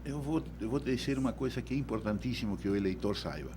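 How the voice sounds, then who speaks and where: Uruguayan-accented man, lively explaining tone. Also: mid-range voice, fast, noisy background. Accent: Uruguayan Spanish. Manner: didactic, repeats words while thinking. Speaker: law professor guest. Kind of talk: radio interview explanation. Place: radio studio.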